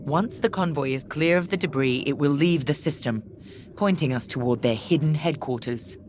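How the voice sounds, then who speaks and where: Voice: mid-range voice, moderate pace, a little hiss or hum, natural voice. An actor playing a stern, controlled Imperial commander in a space game, giving formal mission briefings in a clipped, authoritative way. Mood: cold, commanding.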